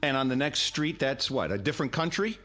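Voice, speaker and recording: An American actor playing a reasonable, indignant neighbor in a radio drama, reproachful and sardonic. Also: male voice, mid-range voice, animated, fairly quick, bright, forceful tone. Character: indignant, reasonable neighbor pleading against the mob.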